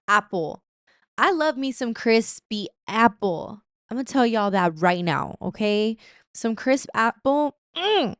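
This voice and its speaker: Smooth, chatty young woman podcaster. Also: medium pitch, no echo, studio quality. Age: 20s. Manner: chatty and playful, with laughs and slang asides. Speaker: podcast host. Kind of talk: casual chat.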